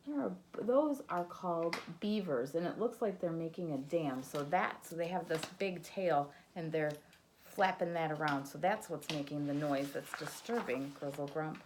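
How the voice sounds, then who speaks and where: A woman's voice: low-pitched, normal speed, darkish tone, a little hiss or hum, low-quality recording. A woman teacher reading a children's picture book aloud, doing sound effects and asking her young listeners questions.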